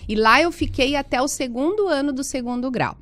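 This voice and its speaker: A woman's voice: high-pitched, brisk. Chatty woman with a Paraná accent. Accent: Paraná interior Brazilian Portuguese. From Paraná, Brazil. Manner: chatty, warm storytelling with many fillers. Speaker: physiotherapist guest. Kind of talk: casual interview chat.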